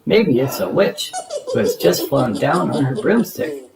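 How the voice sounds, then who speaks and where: A man voicing a little childlike monster in a storybook, excitable, anxious and curious. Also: mid-range voice, a little slow, vocal fry, crowd noise. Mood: scared.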